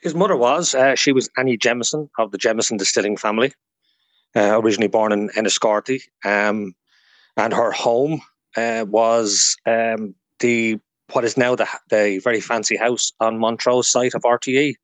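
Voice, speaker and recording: An Irish man with a mid-pitched voice, talking as a guest expert in an interview. He explains in an informal, informative storytelling way, with a very dry, close sound. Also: normal speed, no echo. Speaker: guest expert, radio historian.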